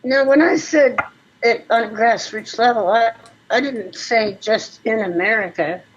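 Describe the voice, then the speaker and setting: A woman's voice: on the high side, measured pace, breathy edge. Woman in a group meeting, hesitant and stammering, muffled dull audio. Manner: hesitant, with stammered restarts and fillers. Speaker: group member. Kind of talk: casual group discussion.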